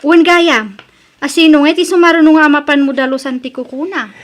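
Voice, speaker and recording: A woman's voice: high voice, a little fast, close to an everyday voice. A woman with an Ilocano accent playing a motherly, hospitable, proud older village auntie in a comedy radio drama, coaxing and homely.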